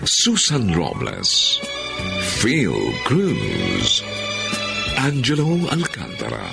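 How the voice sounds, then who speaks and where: Deep, gravelly male radio drama announcer. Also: wildly swooping pitch, drawn-out words. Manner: formal, theatrical. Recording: loud music over voice. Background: loud music.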